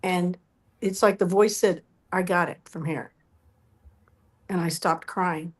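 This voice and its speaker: A middle-aged woman telling animated personal stories as an interview guest, often acting out what people said. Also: fairly low pitch, takes it slowly, a little hiss or hum. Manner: acts out quoted dialogue. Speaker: interview guest, musical performer.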